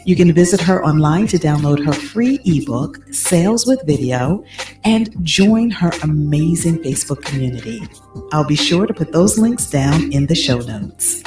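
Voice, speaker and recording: A woman's voice: sits low, lively intonation, moderate pace. A woman hosting a podcast, introducing her guest and asking questions in a gushing, friendly way. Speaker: podcast host. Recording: echoey with music behind.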